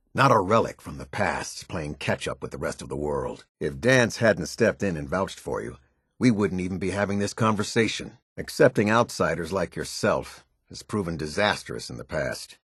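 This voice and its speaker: A man voicing a stern, disciplined military captain in a video game, with a husky voice and a clipped, commanding, formal delivery. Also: low-pitched, dry recording, clean studio sound. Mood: stern, disdainful. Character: stern male military captain, disciplined, exacting, loyal to his order.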